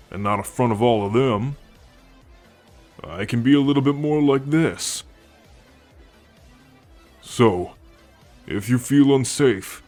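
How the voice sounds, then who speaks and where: A man voicing a friendly, teasing alien soldier in an intimate ASMR roleplay, talking in a gentle, playful, confiding way. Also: medium pitch, lightly acted. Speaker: voice actor in an audio roleplay. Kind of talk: ASMR roleplay, intimate one-sided conversation.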